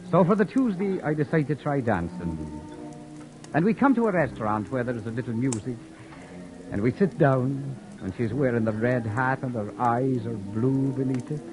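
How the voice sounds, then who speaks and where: A man acting a proud, sharp-tongued young wanderer who narrates his own tale in a 1940s radio drama, with a wry, sardonic telling. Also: mid-range voice, lively intonation, moderate pace, close to an everyday voice, poor audio. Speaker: narrator and lead character. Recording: old radio broadcast with faint music.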